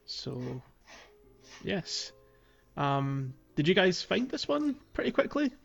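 A man's voice: high voice, big pitch swings, slow, vocal fry, highs cut off, soft background music. A man hosting a podcast, casually recapping a video game in a conversational, jokey way. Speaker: podcast host.

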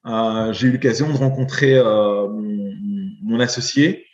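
Halting Belgian-raised man in a roomy room. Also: fairly low pitch, a little mellow, dull audio. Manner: halting, many fillers and repeated words. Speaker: guest, investor. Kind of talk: podcast interview.

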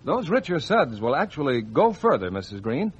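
An American man reading scripted announcements and sponsor pitches as a 1940s radio announcer, on a vintage radio recording. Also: big pitch swings, normal speed, breathy edge, dull audio.

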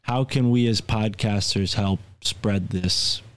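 A man from the United States co-hosting a podcast, talking in a relaxed, thoughtful way and asking open questions. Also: fairly low pitch, slow, clear, resonant tone. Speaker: podcast co-host. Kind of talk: casual podcast chat.

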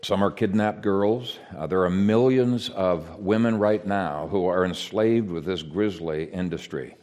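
A man who grew up in Ethiopia, giving an earnest, measured sermon with frequent hesitations. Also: deep voice, a little mellow. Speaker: preacher.

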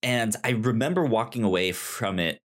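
A man co-hosting a podcast, giving a casual review in a hesitant, halting way, repeating words as he searches for phrasing. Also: fairly low pitch, expressive. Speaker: podcast co-host. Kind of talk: casual conversational book review.

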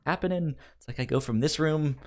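A man's voice: on the high side, fairly quick, a little mellow. A man hosting a podcast in casual chat, upbeat and enthusiastic, sometimes stammering over his words. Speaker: podcast host.

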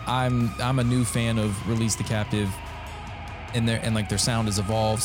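A young man from North Carolina hosting a podcast in casual chat, loose and rambling, swearing and laughing easily. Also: mid-range voice. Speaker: podcast host.

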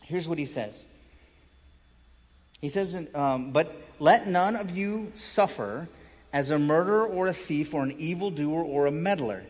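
Male pastor, higher-pitched voice, emphatic sermon delivery with weighty pauses, recorded in a reverberant hall. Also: darkish tone. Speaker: pastor preaching. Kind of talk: evangelical sermon. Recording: echoey hall with long reverb.

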